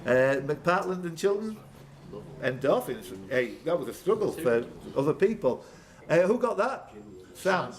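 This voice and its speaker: A man's voice: fairly high pitch, sing-song, measured pace. A man hosting a live pub quiz as quiz master, reading questions and tossing out bantering, jokey asides. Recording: background room noise.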